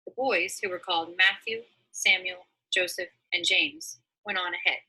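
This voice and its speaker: A woman reading a storybook aloud as narrator, in a dramatic, expressive manner. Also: lowish voice, normal speed, husky, poor audio. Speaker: story reader.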